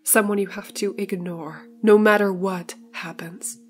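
A woman voicing a frightened, jittery woman in a blue coat who speaks in hushed, terse lines. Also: medium pitch, steady tone, unhurried, slightly rough, soft background music. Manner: hushed and terse, bursts into screaming.

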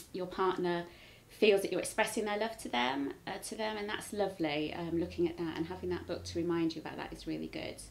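Woman, 40s, chatty, gravelly lowish voice, home interview. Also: a little mellow. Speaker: married couple sharing a testimonial. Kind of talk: casual testimonial. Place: living room sofa, indoors.

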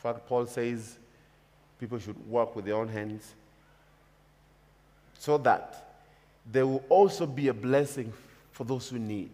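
Kenyan man, pastor, unhurried emphatic sermon, long hall reverb, mid pitch. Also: darkish tone. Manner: emphatic, repetitive preaching cadence. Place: church stage with large hall.

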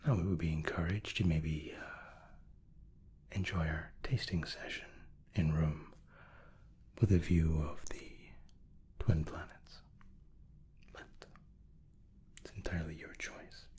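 A man in his thirties whispering softly and courteously as a flight attendant in an ASMR roleplay, close to the microphone. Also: extremely low pitch, steady tone, husky. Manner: soft, slow, courteous attentive steward.

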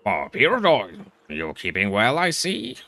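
A man's voice: lowish voice, huge pitch swings, moderate pace, sharp tone, faint music behind, pitched below the performer's own voice, full character voice. A man voicing a kindly, jovial shopkeeper in a video game, with genteel, old-fashioned phrasing. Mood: jovial, approving.

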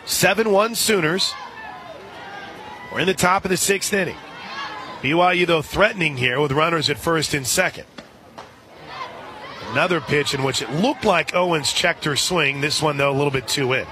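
A man doing live radio play-by-play of softball in a conversational way, as the announcer for the home side. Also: a little high, normal speed, pauses often, noisy background. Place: outdoor softball stadium broadcast. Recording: crowd and music behind. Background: stadium crowd, music.

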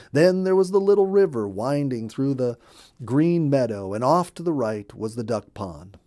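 A middle-aged man narrating a children's story in an expressive storybook manner. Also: medium pitch. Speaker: storyteller, audiobook reader. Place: indoor room.

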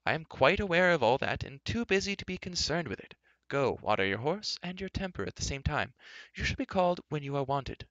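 A man voicing an aged, stern wizard in a storybook reading, with a dry, dismissive, measured manner. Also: fairly high pitch, sing-song, a bit bright, no room sound, dull audio, voice pushed up. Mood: stern, unperturbed. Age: older. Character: aged enchanter, stern, unperturbed and dryly dismissive.

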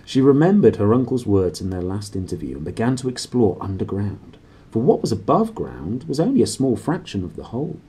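Male storyteller, thirties. Low, mellow, slightly husky; swooping, dramatic read. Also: big pitch swings, fairly quick. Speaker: storybook reader. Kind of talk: expressive read-aloud of a children's novel. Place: small cluttered room indoors.

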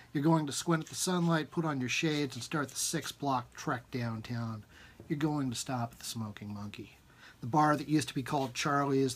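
A man in his forties with a husky voice reads his own fiction aloud informally and expressively, with emphatic rhythm and dramatic pauses, at a desk in a room at home. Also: on the high side. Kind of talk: expressive literary reading, informal. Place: small book-lined room at home, indoors.

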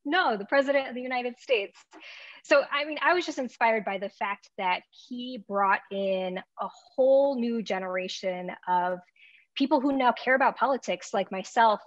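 A young woman speaking as a panelist over a video call from home, chatty and quick to laugh. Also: a little high, dry recording. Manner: chatty, laughs easily, uses "like" and "right". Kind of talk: casual panel talk. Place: home room. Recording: dull, dry video call audio.

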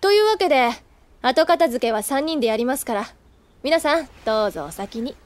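A lively, highish voice gives us a senior schoolgirl who loves to tease. The pitch swings widely as she talks in a casual, confident, easygoing way, in anime audio drama style. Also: fairly quick. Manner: playful, casual.

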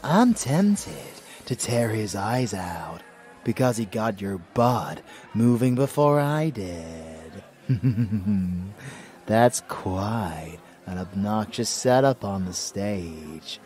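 A man's voice: sits low, slow, few pauses, a little mellow, faint music behind. A man playing a cocky, murderous vampire lover in a roleplay audio drama, intimate, drawling and menacing, doting on the listener. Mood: flirtatious, possessive.

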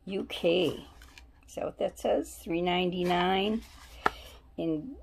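Haul vlogger, woman, low-pitched and gravelly, unhurried label-reading chatter over faint hiss. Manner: chatty, musing aloud while reading labels. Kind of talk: casual shopping haul show-and-tell. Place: at home, indoors.

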